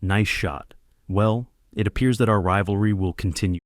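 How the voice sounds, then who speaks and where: A man's voice: lowish voice, moderate pace, natural voice. A man playing a formal, composed criminal boss in an amateur audio drama, polite but commanding. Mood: composed, pleased.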